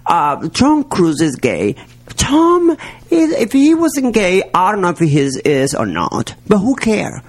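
Campy sassy guy w non-native english, high voice swooping around, doing a funny gossip rant. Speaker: podcast regular giving a weekly rant.

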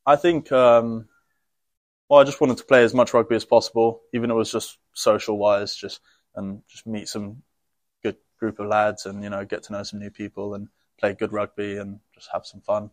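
A young man answering interview questions in a relaxed, easygoing way, laughing now and then. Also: fairly low pitch, normal speed, no echo. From grew up in many countries. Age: young adult. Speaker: rugby player guest.

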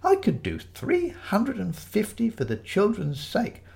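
A man voicing an elderly, doddering vicar in a story reading, with a quavery voice and a slow, genteel, pious manner. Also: sits high, wildly swooping pitch, vocal fry, darkish tone, pitched above the performer's own voice, full character voice. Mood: gently wily. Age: older.

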